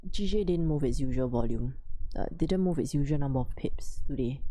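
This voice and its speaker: A woman hosting a casual trading livestream, talking quietly with a sore throat as she answers questions from chat. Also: very deep voice, fairly quick. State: sore throat, losing her voice. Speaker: forex trading livestream host.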